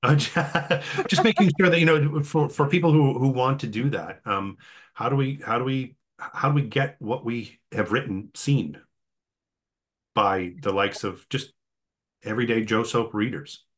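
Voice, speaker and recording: A man hosting an online workshop in a conversational, halting way, often repeating words as he goes. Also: mid-range voice. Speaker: host.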